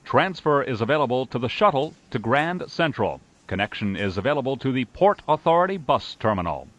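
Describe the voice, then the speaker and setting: A man with a mid-pitched voice reads scripted transit announcements. He is formal and enunciates each item, with a lively rise and fall in pitch. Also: brisk, lo-fi sound.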